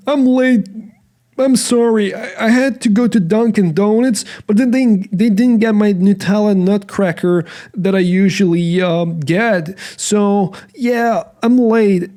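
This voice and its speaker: A hapless, chubby young cartoon sidekick , as a man puts him on rambles apologetically in a raised, goofy pitch. He trips over his words slowly, always one excuse behind. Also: warm tone.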